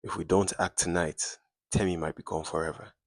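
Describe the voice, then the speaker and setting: A man voicing a grim, hard-nosed fixer with underworld connections, dark, measured and decisive, in a dramatic story. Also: sits low, fairly even intonation, dry recording, studio quality. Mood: urgent.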